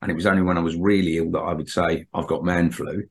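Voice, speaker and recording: Deep-voiced man, throaty with a cold. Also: little pitch movement, fast talker. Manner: matter-of-fact, hesitant with ums and repeats. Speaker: podcast co-host. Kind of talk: casual group discussion.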